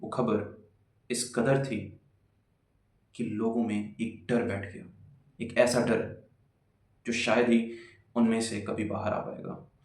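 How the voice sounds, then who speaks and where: A man narrating a video as dramatic, suspenseful storytelling, with pauses and rhetorical questions, in an echoing recording with music behind. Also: on the low side, little pitch movement, darkish tone. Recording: roomy echo, music behind.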